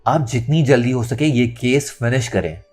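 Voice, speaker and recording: A man's voice: medium pitch, normal speed, darkish tone, natural voice. A man voicing an angry, defiant young woman in a dramatic audiobook, with emphatic, indignant delivery. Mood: insistent. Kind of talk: dramatic audio novel reading. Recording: music under the voice.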